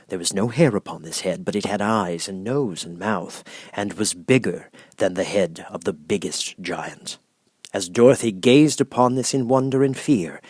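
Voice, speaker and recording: A man's voice: lowish voice, no room sound. A man reading a scripted children's storybook as an audiobook narrator.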